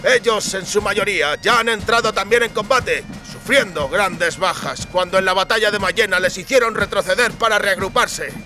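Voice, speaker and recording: Man playing a bright, sardonic mercenary soldier. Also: sits high, moderate pace, hardly any pauses, faint music behind, voice pushed up. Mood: scornful. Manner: sardonic, cutting. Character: scornful, worldly male mercenary soldier, mocking know-it-all. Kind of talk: audiobook character acting.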